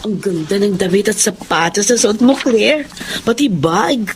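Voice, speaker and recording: A woman's voice: medium pitch, expressive, measured pace, a little hiss or hum, natural voice. A woman playing a sensible, modest and upright young woman in a Tagalog radio drama. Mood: concerned, scolding.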